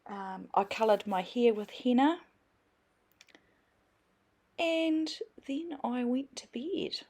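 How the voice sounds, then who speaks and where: A woman vlogger talks casually from her home, her voice croaky because it is the first time she has spoken that day. She rambles at an unhurried pace, pausing often on fillers. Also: a little high, expressive, a little mellow. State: croaky from just waking. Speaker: sewing vlogger. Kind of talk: casual chat.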